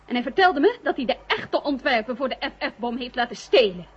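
An actress playing a distraught, bitter secretary in an old radio drama. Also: female voice, sits high, lively intonation, brisk, noisy background. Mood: bitter, agitated. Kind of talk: 1970 radio drama acting. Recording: dull old radio recording.

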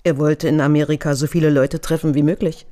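Actress as a guarded, melancholy, low-key woman. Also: little pitch movement, fast, warm tone, natural voice. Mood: wistful. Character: guarded, melancholy woman confiding about a naive man. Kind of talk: radio crime drama dialogue.

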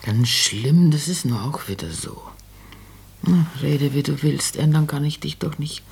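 A woman's voice: low-pitched, measured pace, gravelly, darkish tone, husky, exaggerated voice. An actress playing a fretful, doubting older landlady and mother in a German radio play, speaking in a homely, colloquial way. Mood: resigned, grumbling.

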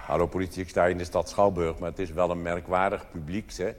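A man's voice: deep voice, level tone, quick pace, voice pushed down, close to an everyday voice. A man playing an earnest informant calling the police over a two-way radio in a stage sketch, with formal, repetitive phrasing. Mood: earnest tattling. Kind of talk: stage comedy sketch, radio call procedure. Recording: reverberant theatre hall, background noise.

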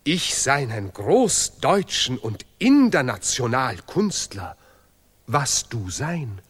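An actor playing a grandiose, self-praising artist who recites ceremoniously in deliberately broken German, in a stylised radio play. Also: male voice, medium pitch, very animated, a bit bright, husky, exaggerated voice. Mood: boastful. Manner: pompous, ceremonious, declamatory.

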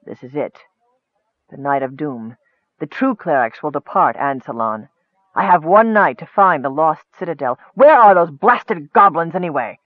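A man's voice: a little high, animated, moderate pace, no echo, close to an everyday voice. A man voicing a cold, scheming male wizard who talks in a commanding, impatient way. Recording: tinny phone-like dry sound.

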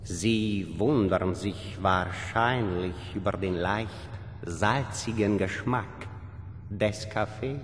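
In a cavernous, noisy reverberant hall, a courtly old vampire count for radio drama. He draws out each word with polite menace, in a low voice. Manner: slow, deliberate, polite menace. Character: sinister, courtly vampire count of ancient lineage. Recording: long hall reverb, clear background noise.

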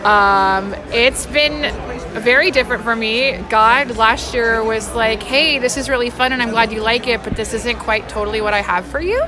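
Female interview guest, fairly high voice, chatty personal storytelling that voices quoted exchanges, music and crowd behind. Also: moderate pace. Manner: chatty, acts out quoted dialogue. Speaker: interview guest, editor in training. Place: busy public convention space. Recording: busy public space with loud music, chatter.

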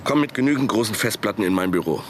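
A man's voice: on the low side, expressive, quick pace, lightly acted. An actor playing a shrewd middle-aged male manager in a radio drama, formal, discreet and self-important. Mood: conspiratorial. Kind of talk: German radio drama acting.

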